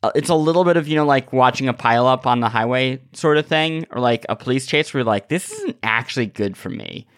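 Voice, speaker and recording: A man's voice: medium pitch, brisk, hardly any pauses, clear, resonant tone, no room sound, clean studio sound. A man co-hosting a podcast in casual comedic chat, telling stories animatedly and acting out people's reactions. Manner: animated storytelling, acting out reactions in quoted lines. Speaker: podcast co-host.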